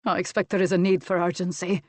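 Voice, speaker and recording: Woman acting a discreet, composed gentleman banker. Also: fairly low pitch, flat delivery, quick pace, no room sound, natural voice. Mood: hushed urgency. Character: discreet, composed male banker, quietly urgent. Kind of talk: audiobook character acting.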